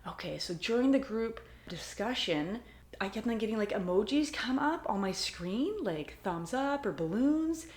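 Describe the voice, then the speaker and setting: A woman's voice: fairly high pitch, expressive, normal speed, darkish tone, a little hiss or hum. A woman in a book club podcast giving her thoughts in a casual, chatty way over a video call. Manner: chatty, laughs often. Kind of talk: casual chat and solo recap. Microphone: computer mic on video call. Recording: roomy echo.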